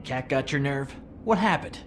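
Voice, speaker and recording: A cocky male troublemaker played by an actor in a video game. He needles people sarcastically, stretching words in a lively, swinging mid-range voice over soft music. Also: medium pitch, close to an everyday voice. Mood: goading. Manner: sarcastic, goading, drawn-out emphasis.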